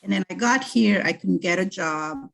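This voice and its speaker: A woman's voice: mid-range voice, moderate pace, creaky, fairly bright tone, low-quality recording. A middle-aged woman from Brazil, a guest talking in a casual interview, thoughtful and full of restarts. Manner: thoughtful, with frequent restarts and fillers. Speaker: guest, French teacher and PhD candidate.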